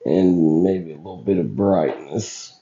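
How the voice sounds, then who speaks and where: A man hosts casually in a deep, very mellow and dark voice, rough and hoarse from illness. He talks slowly and haltingly, in plain folksy words. The recording is slightly dull and lo-fi. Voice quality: rough, scratchy voice. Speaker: video channel host. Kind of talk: casual footage commentary.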